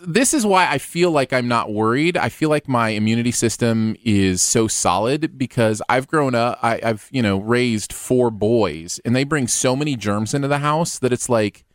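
A man's voice: mid-range voice, moderate pace, hardly any pauses, dry recording, clean studio sound. A middle-aged man co-hosting a podcast in casual, jokey chat, laughing often. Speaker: podcast co-host. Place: studio.

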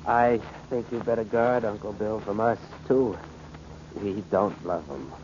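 A man's voice: fairly low pitch, measured pace, close to an everyday voice. An actor playing a rich man's openly hostile, sneering nephew in 1940s radio drama style. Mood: cool, hostile. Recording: muffled, noisy old radio recording.

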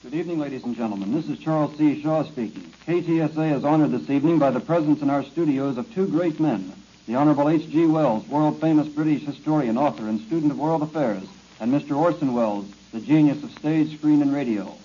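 A man's voice: on the high side, fairly quick, warm tone, slightly rough. A man introducing a program as a radio announcer in a formal, ceremonious manner, in a muffled 1940 archive recording.

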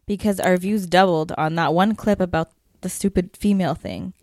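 A young woman co-hosting a podcast in lively casual chat, often talking over her partner. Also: lowish voice, moderate pace, no room sound, studio quality. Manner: animated, talks over co-host. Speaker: podcast co-host.

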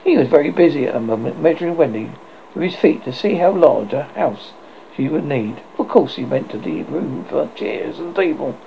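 Guy reading a kids novel out loud, lots of stumbles, gravelly, muffled lo-fi with hiss. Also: a little high, moderate pace. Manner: expressive, with frequent slips and restarts. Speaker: audiobook reader. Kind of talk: reading a classic children's novel aloud.

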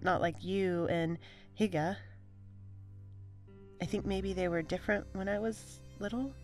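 A woman as a slow, hesitant, lonely space traveller. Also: medium pitch, fairly even intonation, a little mellow, soft background music, natural voice. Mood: subdued, hesitant. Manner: halting, uncertain, subdued. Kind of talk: naturalistic audio drama acting.

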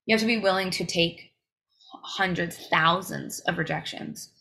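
A woman's voice: fairly low pitch, normal speed, pushed and bright. A woman singer as a podcast guest, talking in emphatic bursts full of fillers and restarts. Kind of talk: casual interview chat.

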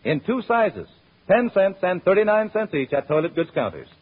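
A man's voice: high-pitched, big pitch swings, moderate pace, husky. An American man announcing in a polished, emphatic 1930s-40s radio advertising style. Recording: muffled, narrow-band old radio recording.

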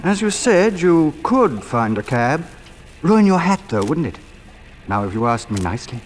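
Suave, teasing suitor for 1940s radio drama; theatrically ardent, animated pitch, faintly noisy period recording. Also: male voice, normal speed.

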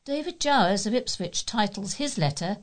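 A woman's voice: medium pitch, lively intonation, normal speed. A woman reading local newspaper letters aloud as a volunteer newsreader.

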